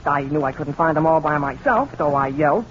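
A boastful, awkward teenage boy acted by a man in a 1940s radio play. He talks fast and high with lively swings of pitch, earnest and hesitant. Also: close to an everyday voice. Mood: eager, self-important. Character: boastful awkward teenage boy, eager to impress, easily flustered. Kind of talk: 1940s radio drama acting. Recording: dull, noisy 1940s radio recording.

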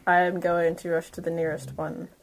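A woman's voice: on the low side, little pitch movement, moderate pace, gravelly, low-quality recording. A woman chatting casually as a player in a tabletop role-playing game.

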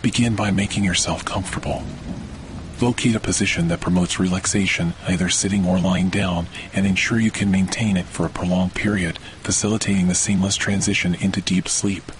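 Male meditation host, low register, calm and measured sleep narration, rain and thunder faintly behind. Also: a little hiss or hum. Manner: calm, measured, scripted delivery. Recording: rainstorm and thunder under the voice. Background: steady rain and thunder.

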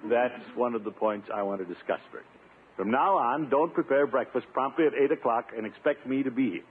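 A man's voice: medium pitch, a little fast, close to an everyday voice. A man playing a pompous, blustering middle-aged uncle in 1950s radio comedy style, indignant and self-important. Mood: stubborn. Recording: tinny, noisy 1950s radio recording.

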